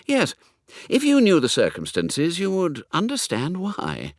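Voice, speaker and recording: A man voicing a breezy, chatty young gentleman with an upper-class English accent and slangy manner in a comic audiobook. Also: a little high, huge pitch swings, measured pace, no echo, clean studio sound, pitched above the performer's own voice, full character voice. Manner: effusive, slangy, blithe. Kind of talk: comic audiobook character acting.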